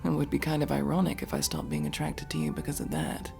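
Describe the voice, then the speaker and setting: Woman acting a low, creaky, slow-talking elf mercenary. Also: big pitch swings, over music, exaggerated voice. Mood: flustered, affectionate. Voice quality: creaky, often dropping to a breathy whisper. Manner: slow, halting, sweary. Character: guarded, tender masked tsundere elf mercenary woman. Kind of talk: intimate ASMR roleplay acting.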